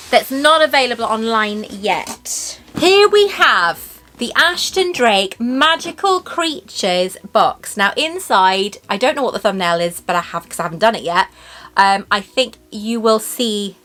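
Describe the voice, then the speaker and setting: A woman's voice: mid-range voice, sharp tone. A woman with a Welsh accent hosting a chatty unboxing vlog, rambling, gushing and laughing often. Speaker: YouTube host.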